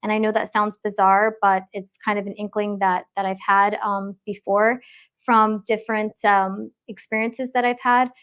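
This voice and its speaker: Woman guest, mid-pitched, thoughtful and hesitant, lots of ums, video call. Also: flat delivery, normal speed, fairly bright tone, clear, resonant tone. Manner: hesitant, thoughtful, many fillers. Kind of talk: casual discussion.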